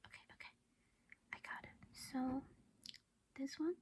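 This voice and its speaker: Slow, whispering woman in gentle ASMR roleplay. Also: high-pitched, fairly even intonation. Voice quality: breathy whisper with soft creaky voiced patches. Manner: gentle, reassuring, coaxing as if to a child. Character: doting vampire mother caring for her human child.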